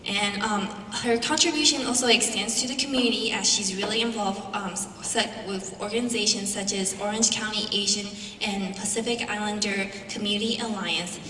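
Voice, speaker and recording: A woman's voice: medium pitch, fairly even intonation, fairly quick, hardly any pauses, bright, forceful tone. A woman moderator introducing the next presenter at a symposium, with many ums and small stumbles. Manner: reads introduction with many ums and a self-correction. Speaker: event moderator. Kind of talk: speaker introduction. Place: large lecture hall. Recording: echoey hall, far from mic.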